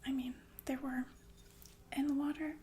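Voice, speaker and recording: A woman playing a calmly menacing, sadistic killer nurse in an intimate, deliberate ASMR roleplay full of pensive pauses. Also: high-pitched, slight background noise, natural voice. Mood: menacing musing. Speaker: ASMR performer. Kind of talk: ASMR roleplay monologue in whispers.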